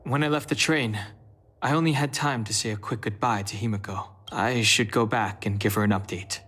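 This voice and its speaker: A man's voice: fairly low pitch, moderate pace, fairly bright tone, breathy edge. A man voicing a reserved, earnest, dutiful young man in a video game. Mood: subdued, sincere.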